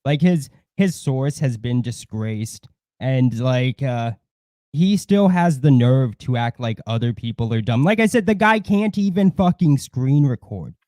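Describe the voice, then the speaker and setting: A man in casual livestream chat, blunt and disdainful, swearing heavily and haltingly circling back over his points. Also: medium pitch, expressive, darkish tone, dry recording, clean studio sound. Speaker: co-host of a livestream.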